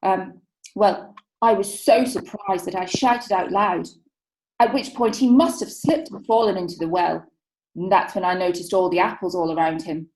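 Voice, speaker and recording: A woman's voice: medium pitch, normal speed, a little mellow, lightly acted. An actress playing a coy, flustered witness who stammers and hesitates as she testifies. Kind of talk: amateur radio drama. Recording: echoey room.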